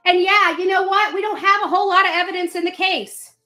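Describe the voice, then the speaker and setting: A woman's voice: very high voice, steady tone, brisk, sharp tone. A woman speaking off the cuff as an online commentator, in an emphatic, repetitive, confrontational manner. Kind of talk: unscripted rant and advice to camera. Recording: roomy echo with faint noise.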